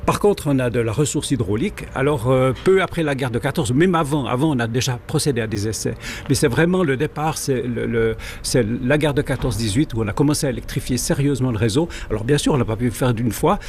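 A man's voice: medium pitch, fast talker, slight background noise. A man with a Swiss French accent, a guest on a radio show, explaining things thoroughly and with digressions. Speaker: guest, local rail historian. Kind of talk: radio interview.

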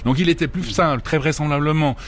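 A man giving informal technical explanations, measured, precise and a little hesitant. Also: a little high.

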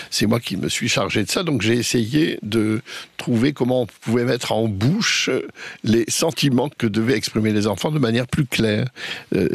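Gravelly, husky man searching for words. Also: fairly low pitch, dry recording. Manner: thoughtful, searching for words, frequent restarts. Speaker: opera stage director and translator. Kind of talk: radio interview guest.

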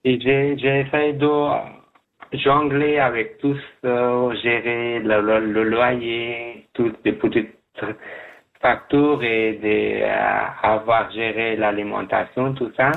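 A man guesting on a radio show, telling his story haltingly with many restarts, heard over a phone line. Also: mid-range voice, flat delivery, vocal fry. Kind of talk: halting personal account.

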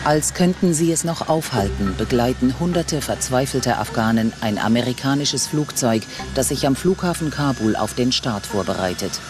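A man's voice: medium pitch, lively intonation, moderate pace, hardly any pauses, music in the background. A man reading a TV news report from the archive in a grave, dramatic way.

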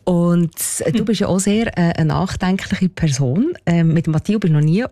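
A radio host who interviews a musician in a chatty, encouraging way. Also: female voice, on the low side, normal speed, dark tone, dry recording, clean studio sound.

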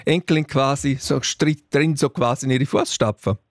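A man with a Swiss German accent hosting a radio call-in, chatting warmly with a caller and laughing easily. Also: mid-range voice. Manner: warm, teasing, quick laughs. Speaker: radio host. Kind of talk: friendly radio call-in chat.

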